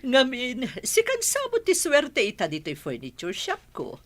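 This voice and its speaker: A woman's voice: high-pitched, huge pitch swings, a little slow, fairly bright tone, full character voice. A woman playing a good-humored middle-aged mother and shopkeeper in an Ilocano radio drama. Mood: jolly, chuckling.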